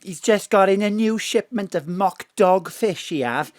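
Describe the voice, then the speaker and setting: A man's voice: high-pitched, measured pace, no room sound, clean studio sound. A man voicing a chatty, doting older mother who rambles in a sing-song way, in a radio play. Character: chatty older Welsh mam, fond and gossipy. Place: home studio.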